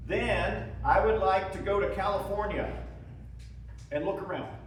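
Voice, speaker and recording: A man's voice: high voice, a little slow, a little hiss or hum. A man dramatically reading letters as a weary, homesick Civil War soldier husband, earnest and theatrical, aggrieved yet tender. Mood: hopeful. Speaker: reader at a historical presentation. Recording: echoey room, distant microphone.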